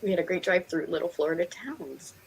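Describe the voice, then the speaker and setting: A woman's voice: fairly low pitch, lively intonation, brisk, vocal fry, a little hiss or hum, low-quality recording. A woman with a Northeastern American accent hosting a live stream, chatting casually in a giggly, talkative way. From Pennsylvania and New York, United States. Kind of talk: casual chat.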